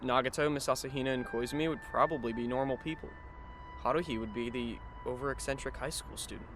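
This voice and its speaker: A man playing a wry, resigned high school boy in an anime fan dub, speaking in a dry, reflective way. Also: quick pace, noisy background, lightly acted. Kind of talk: anime fan dub dialogue and inner monologue.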